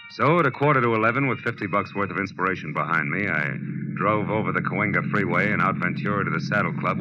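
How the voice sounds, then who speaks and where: A man's voice: low voice, natural voice. An actor playing a wry, hard-boiled American private detective, narrating his case in a terse, sardonic way in a 1940s radio drama. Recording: muffled old radio recording with loud music.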